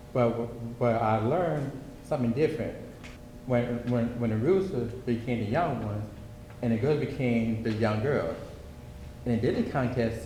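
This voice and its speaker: A man casually reminiscing as a former camper, halting and repeating his words. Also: mid-range voice, warm tone. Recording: echoey room with faint noise.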